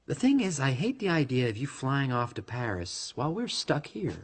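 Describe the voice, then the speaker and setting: An actor playing a resentful, lovesick male android in a radio drama, speaking insistently with cold menace and a husky voice. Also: medium pitch, very animated, moderate pace, a little hiss or hum, natural voice.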